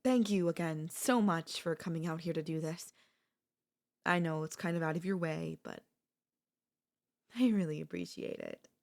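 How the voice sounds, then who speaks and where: A woman acts out an obsessive older sister-in-law for an ASMR roleplay. Her voice sits a little low for a woman. She coaxes and chats sweetly, with calm, unapologetic menace underneath. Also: normal speed, vocal fry, pauses often. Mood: sweetly grateful, insistent. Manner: coaxing, chatty, calmly unapologetic. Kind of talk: ASMR audio roleplay acting.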